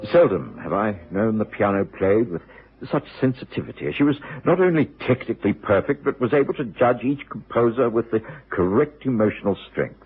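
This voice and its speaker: An actor playing a loyal middle-aged British gentleman doctor, telling the story earnestly and formally in old radio drama style. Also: fairly low pitch, slightly rough, full character voice. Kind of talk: 1950s-60s radio drama acting and narration. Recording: muffled, noisy old radio recording.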